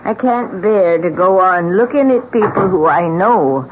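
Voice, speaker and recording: An actress playing an old widow in a radio drama, grave, insistent and full of dramatic warnings. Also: female voice, on the low side, expressive. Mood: ominous warning. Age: older. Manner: grave, dramatic, slow pronouncements. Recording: dull, noisy old radio recording.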